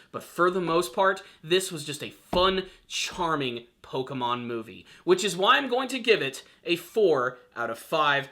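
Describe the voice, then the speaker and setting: A male video reviewer with a fairly high, bright and punchy voice. He talks straight to his viewers with gushing enthusiasm. He leans hard on key words and draws them out for emphasis. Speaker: film review channel host.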